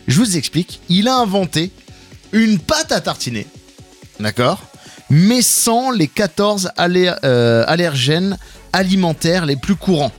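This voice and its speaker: A man in his late thirties hosting a morning radio show, lively and teasing, laughing often. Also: high-pitched, a little slow, faint music behind. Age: late 30s. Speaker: radio host. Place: radio studio.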